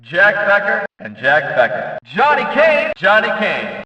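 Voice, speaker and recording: An actor playing an excitable, booming race announcer in a video game, calling out names in a drawn-out, theatrical way. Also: male voice, exaggerated voice. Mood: hyped announcing. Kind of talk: shouted race-announcer name calls. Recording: echoey, distant, slightly dull PA-like sound.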